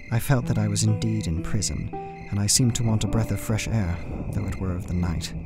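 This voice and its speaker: An anxious young gentleman played by a man recounts his journal in a radio play. His voice is on the low side, formal and measured, with a literary turn of phrase. Music runs clearly underneath the narration. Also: close to an everyday voice. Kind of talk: dramatic first-person narration, radio play.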